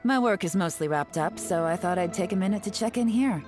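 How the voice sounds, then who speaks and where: A woman's voice: mid-range voice, expressive, brisk, lightly acted. An actress playing a confident, shrewd female intelligence agent in a video game, composed and matter-of-fact. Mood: composed, assured. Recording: music behind the voice.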